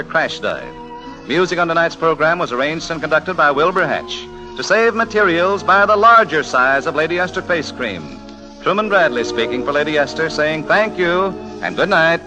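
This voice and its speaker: A man's voice: a little high, low-quality recording. A man announcing from a script on 1940s network radio. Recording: vintage radio broadcast, music behind.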